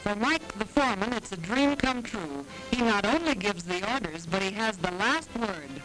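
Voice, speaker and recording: A woman's voice: medium pitch, expressive, normal speed, poor audio. A woman reading wry, playful scripted narration for a 1940s newsreel. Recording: archival newsreel, loud music behind.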